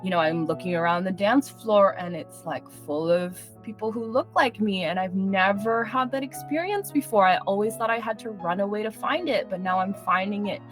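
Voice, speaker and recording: A woman in her thirties from Singapore, a music journalist talking as a guest in a casual interview, animated and chatty with lots of "like" fillers. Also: medium pitch, normal speed, few pauses.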